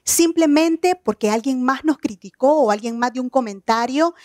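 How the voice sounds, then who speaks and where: A woman's voice: high voice, lively intonation, darkish tone, dry recording, studio quality. Earnest woman giving a stage talk. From Nicaragua. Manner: earnest, measured, personal. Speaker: keynote speaker. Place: outdoor evening stage event.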